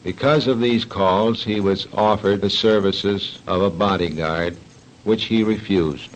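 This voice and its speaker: Low-voiced, formal male police officer. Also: measured pace, a little hiss or hum. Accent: American. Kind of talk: read official statement on radio. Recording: dull 1940s radio recording.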